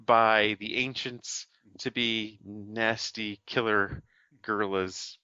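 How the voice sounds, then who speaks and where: A man's voice: on the low side, fairly even intonation, takes it slowly, bright, forceful tone, smooth, dry recording, lo-fi sound. A man co-hosting a podcast, summarizing a film plot in casual chat, with many fillers and restarts. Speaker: podcast co-host.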